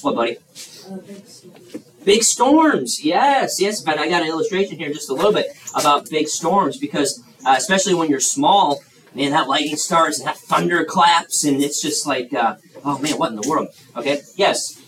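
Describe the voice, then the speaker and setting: A man's voice: fairly high pitch, lively intonation, moderate pace, a bit bright. A man in his thirties preaching to young people in a folksy, chatty way, full of fillers and restarts. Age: 30s. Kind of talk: youth sermon with audience questions. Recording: echoey room, mic far off, faint hiss.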